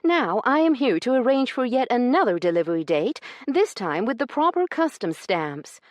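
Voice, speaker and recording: Woman voicing a sharp-tongued proper lady. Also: fairly high pitch, very animated, normal speed, dry recording, pitched above the performer's own voice. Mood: indignant. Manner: clipped and proper, cutting. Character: prim, sharp-tongued young lady, indignant.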